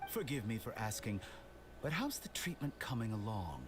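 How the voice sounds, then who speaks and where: A man's voice: on the low side, big pitch swings, normal speed, background noise. An actor playing an arrogant, smug male scholar villain in a video game, with a silky, condescending manner. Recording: game audio with loud music behind.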